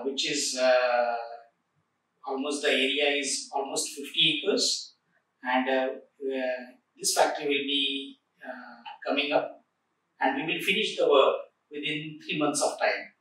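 A man in his forties, seated in an office, presenting a factory project in a formal way with many filler pauses. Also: mid-range voice, fairly even intonation, pushed and bright. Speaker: project coordinator. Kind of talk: corporate site tour presentation. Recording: echoey room, recorded from a distance.

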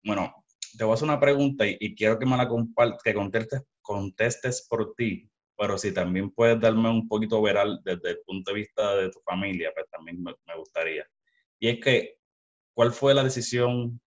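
A man's voice: fairly low pitch, moderate pace. A young Puerto Rican man hosting a casual video-call interview, rambling with many restarts, talking into a headset mic at home. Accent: Puerto Rican Spanish. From Puerto Rico. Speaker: podcast host. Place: small room at home. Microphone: headset with studio mic. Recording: dull lo-fi sound.

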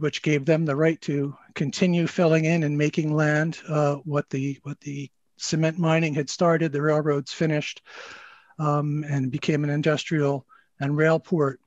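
Older male historian, slightly high voice, halting delivery, dry lo-fi video call sound. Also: darkish tone, dry recording. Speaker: librarian, historian panelist. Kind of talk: informal historical explanation. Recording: dull, lo-fi video call audio.

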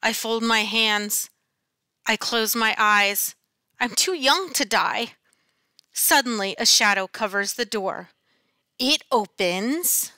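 A woman reads a children's picture book aloud like a teacher to a class. Her voice is fairly high and bright, and her delivery is slow and dramatic. The sound is dry and close. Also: no room sound. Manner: dramatic, deliberate storytelling. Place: small classroom, indoors. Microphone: headset.

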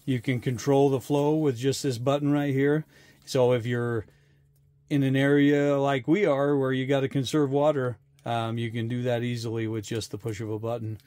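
A man's voice: mid-range voice, little pitch movement, moderate pace, darkish tone, no room sound. A middle-aged man talking casually and plainly to viewers as an outdoor vlogger, explaining things in an easygoing way. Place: outdoors in snowy woods.

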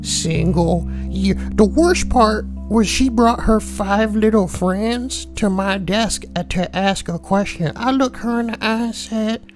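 Slow-talking, brash, lewd schoolboy, played by a man. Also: sits high, faint music behind. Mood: mocking, amused. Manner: slangy, mocking, drawling asides. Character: brash, foul-mouthed, lewd schoolboy comedian. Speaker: host reading forum posts. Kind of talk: comedic reading with crude commentary.

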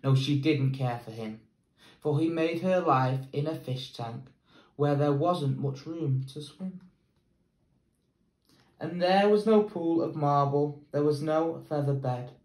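Slow young English teacher reading aloud. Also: male voice, a little high, darkish tone, echoey room, rough recording. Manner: slow, measured storytelling. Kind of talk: picture book read-aloud. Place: plain indoor room.

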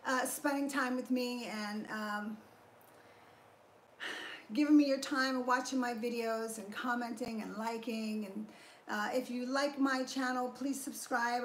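A woman's voice: on the high side, a little hiss or hum. A middle-aged woman hosting a casual fitness livestream from her garage home gym, upbeat as she thanks viewers and explains her training step by step. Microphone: fixed camera at a few feet. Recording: echoey garage room.

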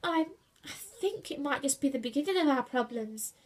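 A woman voicing a small, anxious male animal sailor in a children's story, squeaky and fretful. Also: big pitch swings, a little fast. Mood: worried.

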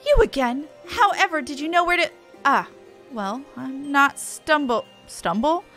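A woman's voice: high-pitched, expressive, measured pace, fairly bright tone, faint music behind. A woman voicing a haughty, officious company clerk with a formal, scolding manner.

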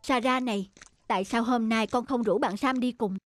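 A woman playing a gentle, patient, caring young mother in an animated cartoon. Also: a little high, normal speed, dry recording, studio quality, soft background music, lightly acted.

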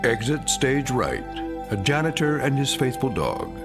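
A man delivering scripted radio drama narration in a deliberate, ominous manner. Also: medium pitch, sing-song, music in the background.

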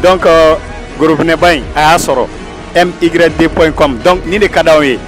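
A man's voice: fairly high pitch, measured pace. A young man hosting a casual street game outdoors, repeating phrases for emphasis. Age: young adult. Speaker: street interview host. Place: outdoors in urban courtyards and streets. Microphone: small handheld lapel mic. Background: music.

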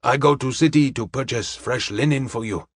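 A man's voice: mid-range voice, moderate pace, slightly rough, no room sound, clean studio sound. A man playing a courteous, wise Chinese detective in an audiobook, speaking formal, stilted English with dropped articles. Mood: calm, benevolent.

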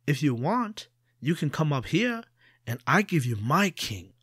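A sly young trickster, as a man puts him on, coaxing and boastful in a raised, sing-song voice. His pitch rides high and lively. The sound is close and dry. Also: measured pace, breathy edge, no room sound, heavily acted. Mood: wheedling. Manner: wheedling, boastful.

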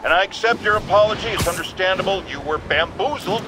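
A man's voice: high-pitched, fast talker, noisy background, natural voice. An actor playing a smug, blustery male talk-radio host, delivering a pompous, sarcastic monologue. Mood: sarcastic, indignant.

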